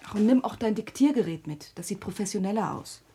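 An actress playing a worried, practical and insistent sister in a German radio drama. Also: female voice, mid-range voice, animated, fast talker, warm tone, breathy edge. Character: worried, suspicious, practical sister urging caution.

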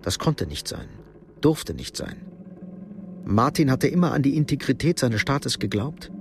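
A man's voice: medium pitch, big pitch swings, a little slow. Male radio drama narrator over noisy ambience. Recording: clear background noise.

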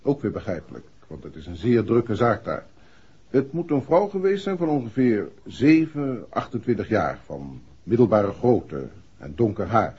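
An actor playing a matter-of-fact, precise police inspector in a 1960s Dutch radio play. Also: male voice, on the low side, big pitch swings, normal speed, a little mellow, husky, exaggerated voice. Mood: businesslike. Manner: businesslike, precise. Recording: dull, muffled vintage radio recording.

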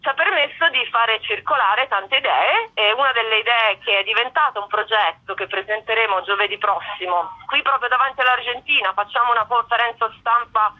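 A woman's voice: a little high, fairly quick, hardly any pauses. A woman speaking as a spokesperson for performing arts workers in a live radio interview. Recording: dull, muffled phone line.

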